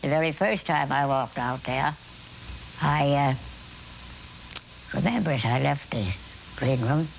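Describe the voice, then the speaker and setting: An elderly American woman in her eighties speaks in a low register with a gravelly creak. She tells her stories deliberately, pausing and repeating for dramatic weight. A light hiss of background noise sits behind her. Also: low-quality recording. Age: 80s. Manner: deliberate, dramatic phrasing with pauses and repetition. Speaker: amateur conductor being interviewed. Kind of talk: reflective interview storytelling.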